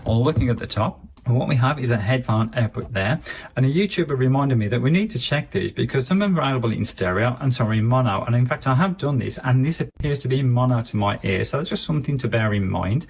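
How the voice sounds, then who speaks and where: A man's voice: mid-range voice, animated, fast talker, vocal fry, breathy edge. A man giving a casual, chatty and matter-of-fact hands-on review of a gadget. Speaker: product reviewer.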